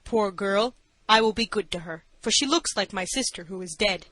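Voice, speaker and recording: A woman's voice: mid-range voice, moderate pace, fairly bright tone, dry recording, lightly acted. Woman voicing a kindly, authoritative male judge. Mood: kind, tender. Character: kindly older male judge, stern then tender.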